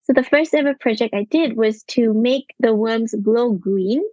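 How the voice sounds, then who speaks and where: Malaysian-raised woman, slightly high, muffled. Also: moderate pace, warm tone, no echo. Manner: thoughtful, storytelling with fillers. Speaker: scientist guest. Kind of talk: interview answers.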